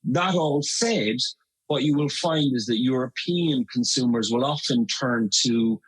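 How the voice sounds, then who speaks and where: A man's voice: medium pitch, unhurried, slightly rough, rough recording. A middle-aged Irish man talking as a guest in an interview, chatty and full of fillers and restarts. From Ireland. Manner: conversational, many fillers and restarts. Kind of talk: interview conversation.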